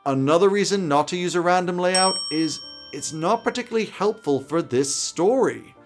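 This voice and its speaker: A man in his thirties presenting scripted game master advice to camera from a small home office. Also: a little high. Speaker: YouTube host giving game master tips.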